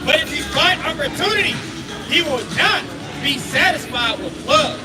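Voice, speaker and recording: Very high-pitched man declaiming scripture outdoors. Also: expressive. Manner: slow, drawn-out, emphatic phrasing. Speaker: scripture reader for a street preacher. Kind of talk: loud declaimed Bible reading with short affirmations. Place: outdoors on a street. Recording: distant, echoing, music and crowd behind.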